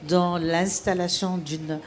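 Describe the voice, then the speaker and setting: A woman, an HR association president, speaking in a measured way and searching for words. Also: fairly low pitch, steady tone. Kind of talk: spontaneous testimony.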